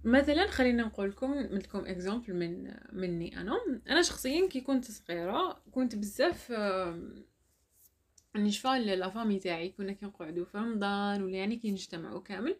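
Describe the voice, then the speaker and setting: A woman's voice: medium pitch, animated, fast. A young woman with an Algerian Arabic accent, chatting casually and telling a personal story. She laughs often and mixes French words into her speech.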